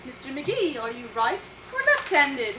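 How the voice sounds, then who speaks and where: An actress playing a fluttery, giggly high-society matron in a gushing, affected 1940s radio comedy manner. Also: female voice, very animated, measured pace, bright, forceful tone, far from the mic, full character voice. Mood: flustered, gushing. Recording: echoey stage from afar, faint noise.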